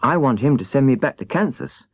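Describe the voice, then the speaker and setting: Man doing a plucky little girl, pitched up. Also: expressive, brisk, no room sound, close to an everyday voice. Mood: earnest. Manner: forthright, scolding, earnest. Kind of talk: children's storybook character reading.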